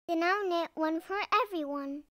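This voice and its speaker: Woman doing a high-voiced, steadfast little girl. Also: normal speed, pushed and bright. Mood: earnest. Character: calm, polite, steadfast little girl who knits.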